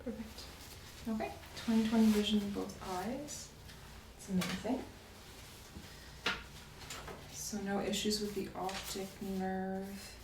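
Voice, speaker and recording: A soft-spoken woman in an ASMR medical roleplay, gently explaining exam steps as the examiner. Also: mid-range voice, steady tone, measured pace. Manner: gentle, step-by-step clinical explaining. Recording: roomy echo, distant mic, faint noise.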